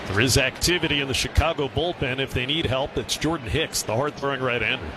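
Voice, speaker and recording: A man's voice: mid-range voice, fast, background noise. A man doing baseball play-by-play on the radio, with clipped, descriptive calls that rise on the action. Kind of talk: radio sports commentary.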